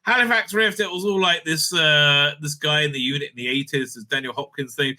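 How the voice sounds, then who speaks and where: A man's voice: fairly high pitch, brisk, pushed and bright. A man giving rambling, opinionated commentary as a video host, blunt and dismissive, often repeating himself and swearing. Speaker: YouTube host and reviewer.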